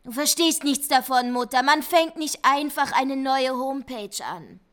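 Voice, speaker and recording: A woman playing a brooding, bitter adult daughter in a radio drama, often hushed and whispering. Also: high voice, unhurried, no echo. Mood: irritated. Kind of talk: radio drama, intimate monologue and dialogue.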